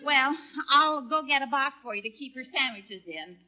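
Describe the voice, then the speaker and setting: An actress playing an excitable, chiding middle-aged wife and mother in theatrical 1940s radio comedy. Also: high-pitched, moderate pace. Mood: huffy. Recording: muffled old 1940s radio broadcast.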